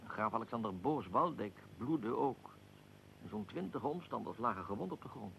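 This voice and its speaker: An actor playing a dignified archduke in a radio drama, recounting events in a measured, formal, deliberate way. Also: male voice, mid-range voice, lively intonation, creaky, a bit bright, a little hiss or hum, rough recording. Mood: grave recounting. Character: archduke, dignified aristocrat recounting his own assassination. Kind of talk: radio drama, recollection in interview form.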